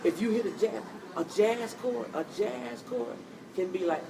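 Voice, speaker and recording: A woman hosting an interview in a coffee shop, animated and preachy, encouraging her guest. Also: on the high side, measured pace, creaky, background noise. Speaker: host. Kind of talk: interview chat. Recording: distant mic in busy cafe. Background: cafe crowd chatter.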